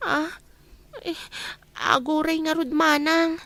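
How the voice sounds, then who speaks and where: High-voiced, meek young provincial maid. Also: female voice, fairly even intonation, slow pace, gravelly, sharp tone, no room sound, heavily acted. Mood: hesitant, pleading. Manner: hesitant, questioning. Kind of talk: radio drama acting.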